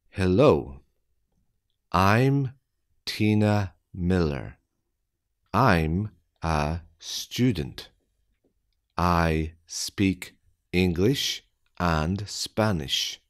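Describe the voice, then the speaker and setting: A man with a low, deep voice reads out an English listening quiz. He speaks extremely slowly, setting each phrase apart so that learners can follow every word. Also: a bit bright. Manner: deliberate, evenly spaced phrasing for learners. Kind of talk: slow scripted quiz reading.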